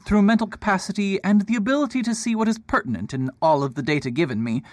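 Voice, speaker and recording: A man's voice: sits high, lively intonation, quick pace, no echo. A man playing a proud, pompous detective and academic in a radio drama, with precise, wordy delivery. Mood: self-assured.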